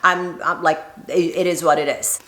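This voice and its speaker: A woman's voice: low voice, steady tone, moderate pace, fairly bright tone. A woman from Brazil telling a personal story to camera in a chatty, laughing way. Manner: chatty, laughs often, playful quoted voices. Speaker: vlogger. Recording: echoey room.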